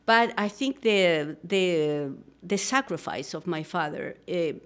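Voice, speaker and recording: A woman's voice: lowish voice, animated, takes it slowly, a bit bright. A middle-aged woman from Peru with a Peruvian Spanish accent, speaking earnestly as a guest in a radio studio interview, with many fillers and restarts. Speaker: guest, social psychologist. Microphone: studio microphone, headphones.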